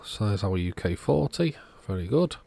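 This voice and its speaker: A man's voice: on the low side, big pitch swings, moderate pace, gravelly, dark tone, slightly rough. A man narrating a repair job in a casual, matter-of-fact, understated way. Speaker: host of electronics repair channel.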